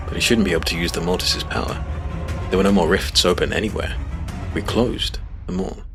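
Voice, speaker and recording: A man playing a grave, determined hero, telling his story as dramatic, measured first-person fantasy narration. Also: deep voice. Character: male monster-hunting hero recounting battle, grave, determined. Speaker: narrator and protagonist. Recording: prominent music behind the narration.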